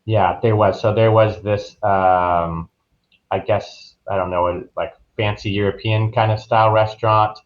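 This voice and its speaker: Man, fairly low voice, dull lo-fi audio, casual travel advisor guest, informal explanatory chat, loose phrasing. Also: steady tone, measured pace.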